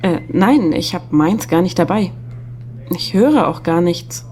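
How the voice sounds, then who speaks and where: A woman's voice: lowish voice, lively intonation, measured pace, a little mellow, slight background noise. A woman acting a friendly, chatty young office secretary in an audio drama.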